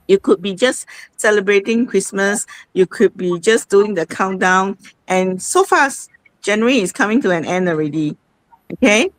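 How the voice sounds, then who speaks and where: A woman's voice: medium pitch, no echo, poor audio. A woman, hosting a casual live-stream sharing, chatty and repeating herself, often saying "okay". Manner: chatty, repeats itself, says "okay" a lot. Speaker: host.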